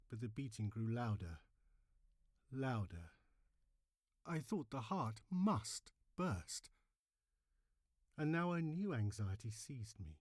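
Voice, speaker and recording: Man playing a theatrical, wildly expressive nervous madman. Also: mid-range voice, dry recording, studio quality. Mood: agitated, fearful, then frantic. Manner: theatrical, halting phrases with emphatic repetition. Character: nervous, gleefully cunning madman confessing a murder. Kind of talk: dramatic horror audiobook reading.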